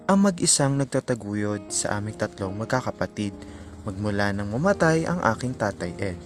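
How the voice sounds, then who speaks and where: A man's voice: medium pitch, lightly acted. A man playing a radio DJ, reading a listener's heartfelt letter as dramatic narration over background music. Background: clear background music.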